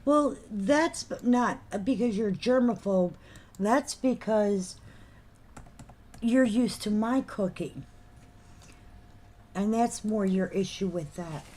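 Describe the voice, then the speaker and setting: A woman's voice: mid-range voice, slow pace, long pauses, a little mellow, a little hiss or hum. A woman co-hosting a live collectibles stream while losing her voice, reading out item numbers and trading dry, teasing banter. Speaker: co-host of a live collectibles show.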